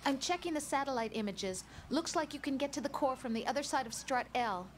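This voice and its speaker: A gentle, caring radio supporter. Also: female voice, high-pitched, lively intonation, a little fast, slight background noise. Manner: gentle, reassuring. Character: caring young woman supporting over radio.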